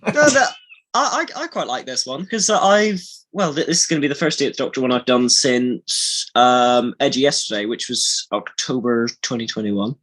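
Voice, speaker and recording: A man's voice: medium pitch, lively intonation, moderate pace, a bit bright. A man chatting casually on a podcast as a voice actor, bantering and often repeating words as sentences form. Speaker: voice actor on a behind-the-scenes podcast.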